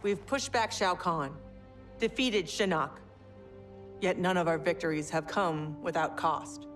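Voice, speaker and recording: Authoritative female general, voiced by a woman: low, clipped, no-nonsense, over a game score. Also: measured pace, music in the background, natural voice. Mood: formal. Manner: crisp, commanding military address. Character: tough female military general, brisk and authoritative. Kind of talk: video game cutscene acting. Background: orchestral game score.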